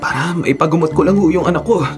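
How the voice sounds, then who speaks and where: A man's voice: a little high, fast talker, over music, lightly acted. An actor playing a worried, humble working-class father who pleads, in a Philippine radio drama. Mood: pleading.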